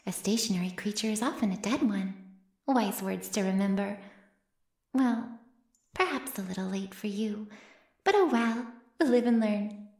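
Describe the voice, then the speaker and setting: A woman's voice: medium pitch, lively intonation, a little slow, long pauses, darkish tone. A woman playing an ancient, smugly teasing female vampire in an intimate ASMR roleplay, murmuring coyly and often slipping into a whisper. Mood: wry, casual. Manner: coy, breathy murmurs that often drop to a whisper. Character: ancient predatory female vampire, playfully menacing, smugly teasing her captive. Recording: roomy cave-like echo.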